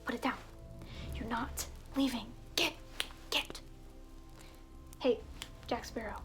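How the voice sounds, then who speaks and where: A woman voicing a snarky, theatrical evil alter ego who welcomes souls to hell in a breathy, whispered ASMR roleplay. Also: fairly high pitch, takes it slowly, a bit bright, faint music behind. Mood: exasperated, bossy. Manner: sardonic, breathy, mock-courteous asides.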